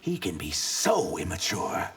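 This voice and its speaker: A man's voice: low voice, huge pitch swings, unhurried, heavy background noise, heavily acted. An actor, a man, playing an earnest, idealistic reptilian emissary in a video game, speaking sincerely. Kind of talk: video game fight banter. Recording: loud music behind.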